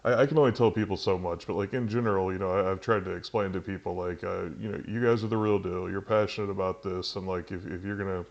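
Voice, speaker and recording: Very low-voiced man on a dull computer mic. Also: fairly even intonation, vocal fry. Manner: halting, many "you know" fillers and restarts. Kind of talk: casual video-call interview.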